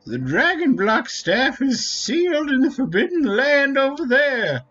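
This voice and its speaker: A man's voice: very high voice, very animated, a bit bright, dull audio, full character voice. A man voicing an ancient, solemn Wingly elder, wise and formal, in a dramatic read-aloud of a fantasy video game. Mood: solemn, storytelling. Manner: slow, grave, formal delivery. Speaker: game streamer. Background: faint game music.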